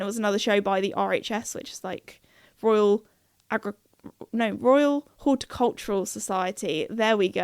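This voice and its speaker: A young woman hosting a casual solo podcast, rambling between topics with lots of fillers, her voice slightly croaky from a cold. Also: fairly high pitch, no echo, clean studio sound. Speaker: podcast host.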